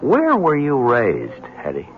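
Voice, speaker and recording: An actor playing a skeptical, placating newspaperman in a vintage radio drama, with a halting, doubting manner. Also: medium pitch, huge pitch swings. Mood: awkward, probing. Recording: muffled, hissy vintage radio recording.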